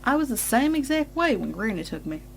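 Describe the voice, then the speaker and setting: A woman voicing a caring country farm pony, gentle and reassuring like a big sister. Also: a little high, very animated, fairly quick, vocal fry, a little hiss or hum.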